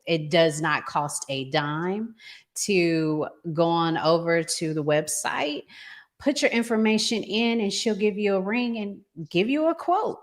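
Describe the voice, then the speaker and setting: An American woman speaks as a talk show host. Her voice sits on the low side and she talks slowly. The delivery is casual and conversational, like chatting with a co-host. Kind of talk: casual relationship talk show with sponsor read.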